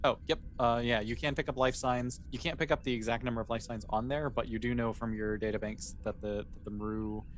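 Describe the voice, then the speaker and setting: A man casually improvising narration as the game master of a tabletop role-playing game, with conversational, halting phrasing. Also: mid-range voice, fairly even intonation. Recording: clear background noise.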